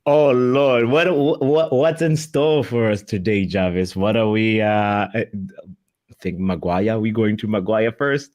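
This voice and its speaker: A man co-hosting a casual live fan show, relaxed and quick to laugh, asking his partner questions. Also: fairly low pitch. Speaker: co-host of a fan call-in show. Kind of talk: casual live-stream chat.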